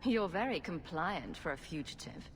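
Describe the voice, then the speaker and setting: A woman's voice: fairly low pitch, expressive, normal speed, in a large hall, slight background noise, natural voice. A woman voicing a self-assured, dryly sardonic heroine in a video game. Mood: wry, composed. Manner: dry, sardonic. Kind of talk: video game dialogue acting.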